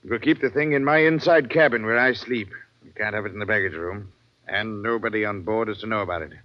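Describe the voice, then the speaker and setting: Gruff, low-voiced ship captain played by a man. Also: a little fast, close to an everyday voice. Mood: stern. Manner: gruff, terse, ominous. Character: stern, wary male ship captain. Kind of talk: 1940s radio drama acting. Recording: dull vintage radio recording.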